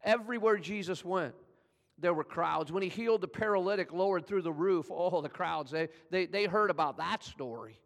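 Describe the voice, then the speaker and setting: An older pastor from California preaching a Sunday sermon. His voice sits a little high and has a gravelly fry to it. His words ring out with a long tail of reverb from the hall. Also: moderate pace, fairly bright tone. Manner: conversational preaching, builds in pitch and volume as he tells the story.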